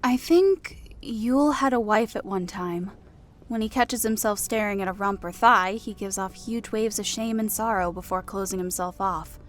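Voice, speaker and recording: A woman's voice: medium pitch, moderate pace, lightly acted. A woman voicing an older female elf who helps run an inn, shrewd, protective and knowing, in a fantasy audiobook. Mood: pensive, knowing. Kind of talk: audiobook character acting.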